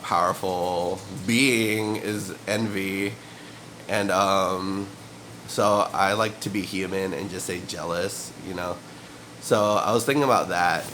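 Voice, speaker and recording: A young man talks to his camera at home in a low, stuffy voice, sniffling and clearing his throat now and then. His delivery is loose and meandering, padded with fillers. A faint hum of room ambience sits behind him. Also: fairly bright tone, rough recording. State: sniffly with a cold and cough. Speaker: vlogger. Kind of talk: rambling casual monologue to camera. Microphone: camera mic.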